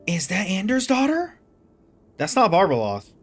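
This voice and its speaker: A woman casually reacting to a video game, chatty and slangy with quick exclamations. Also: mid-range voice, wildly swooping pitch. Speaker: gameplay streamer. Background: faint game music.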